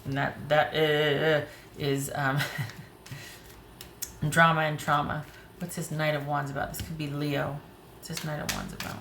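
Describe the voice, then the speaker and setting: A woman reading tarot cards to camera in a casual way, a little hoarse, thinking aloud as she pulls the cards. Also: sits low, flat delivery, unhurried, a bit bright, roomy sound, slight background noise. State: slightly hoarse. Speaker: tarot reader.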